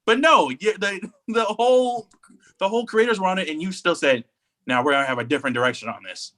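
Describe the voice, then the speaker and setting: A man's voice: high-pitched, a little fast, bright, forceful tone. A man co-hosting a podcast, talking casually and giving opinions with emphatic, repeated phrasing. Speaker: podcast co-host.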